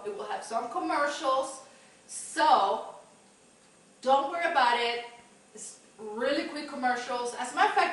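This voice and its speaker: Echoey, high-voiced woman hosting. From Mexico. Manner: upbeat, chatty, gesturing as she talks. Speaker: cooking show host, chef. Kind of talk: casual chatty presenting to camera. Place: home kitchen, indoors. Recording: very echoey room, distant camera mic.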